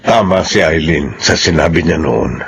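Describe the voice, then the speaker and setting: A man's voice: a bass voice, normal speed, vocal fry, a bit bright, breathy edge, full character voice. An actor playing a proud, supportive middle-aged father with an emphatic, heartfelt manner in a Filipino radio drama. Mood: earnest, proud.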